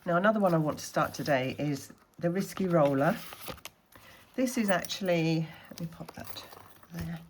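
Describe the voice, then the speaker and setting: A woman from the Scottish Highlands, a little snuffly from a cold, chatting casually through her budgeting challenges and counting money aloud. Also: expressive, normal speed, slight background noise. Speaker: budgeting vlogger.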